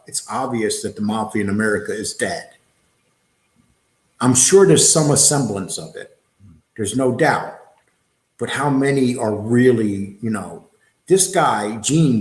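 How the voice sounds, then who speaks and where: A man's voice: medium pitch, darkish tone, roomy sound. A man hosting a livestream talk show, blunt and rambling, throwing out rhetorical questions and reading viewer comments. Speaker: show host.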